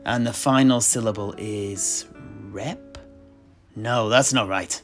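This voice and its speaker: A man acts out a genial fantasy tavern keeper who fancies himself a historian. He is bookish and polite, musing and hesitating as he explains old lore in an audio drama. Soft guitar-like music plays behind him. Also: lowish voice, slow. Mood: intrigued, puzzling. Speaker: host and narrator of a fictional history chat. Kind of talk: in-character lore storytelling, unhurried. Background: guitar-like instrumental music.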